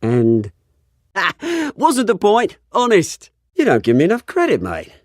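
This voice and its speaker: British revolutionary gangster, husky, very animated, charming yet menacing, fallen rich boy, dramatic game acting. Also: high-pitched, takes it slowly, no echo, clean studio sound, exaggerated voice. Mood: cocky, menacing. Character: bitter, menacing British crime-lord revolutionary, faded rich heir.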